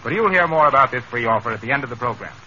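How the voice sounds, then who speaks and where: A male American announcer in old-time radio style, polished and emphatic. His voice is very bright and swoops expressively through the sales copy, on a muffled, noisy vintage recording. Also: medium pitch, animated, rapid-fire. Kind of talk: 1930s radio commercial announcing.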